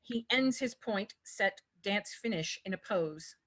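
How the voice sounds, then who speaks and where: A woman's voice: mid-range voice, lively intonation, measured pace, a bit bright. A woman reading scripted stage directions as the narrator of a table read.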